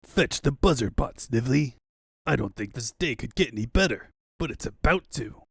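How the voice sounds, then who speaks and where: Pompous cartoon tyrant played by a man; grandiose, menacing, big pitch swings, close dry sound. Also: expressive, normal speed, gravelly, slightly rough, no echo. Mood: commanding. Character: tyrannical pompous villain, male mad doctor, bombastic and bullying.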